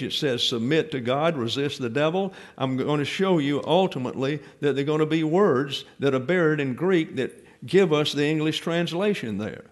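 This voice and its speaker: A man preaching a Bible teaching sermon from the pulpit, explaining patiently, leaning hard on key points, with frequent fillers and restarts. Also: a little high. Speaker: pastor-teacher.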